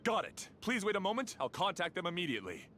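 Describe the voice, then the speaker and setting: A man's voice: high voice, wildly swooping pitch, quick pace, a bit bright, faint music behind, heavily acted. An actor playing an eager, dutiful male guard subordinate in a video game. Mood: dutiful, brisk. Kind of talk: scripted video game dialogue.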